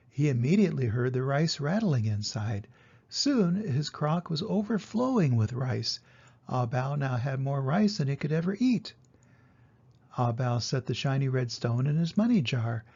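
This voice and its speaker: An older man narrates a children's tale in a soft-edged, mellow voice. It is husky and gravelly, and the recording is a little muffled. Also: medium pitch, big pitch swings, a little slow. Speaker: storyteller reading to children. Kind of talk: scripted children's storybook narration.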